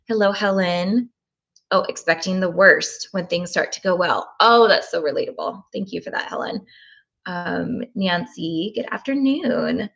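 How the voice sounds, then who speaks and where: Gravelly-voiced woman hosting a live stream. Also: fairly low pitch, measured pace, highs cut off. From Oklahoma. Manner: warm, thinking aloud with frequent ums. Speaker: tapping session host. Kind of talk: live-stream chat, reading comments.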